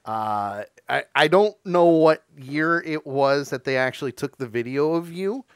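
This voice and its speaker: A man's voice: a little high, lively intonation, a little slow, clean tone, no echo. An American man in his thirties hosting a casual interview, close on a studio microphone. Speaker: show host, interviewer. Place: small dark indoor studio. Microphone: boom-arm studio mic and headphones.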